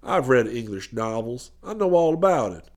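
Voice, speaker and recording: Guy playing a stubborn stout american business man in a story, low voice, blunt, suspicious, all matter of fact. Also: very animated, moderate pace, darkish tone, lightly acted. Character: stout, stubborn, cold-eyed American small-town businessman.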